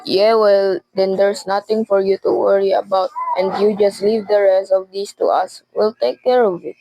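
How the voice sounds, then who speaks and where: A teenage girl playing an unhurried, dismissive police desk sergeant in a radio suspense drama, offhand and patronizing. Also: medium pitch, fairly even intonation, gravelly, dark tone, no room sound, lightly acted, poor audio. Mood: dismissive, impatient.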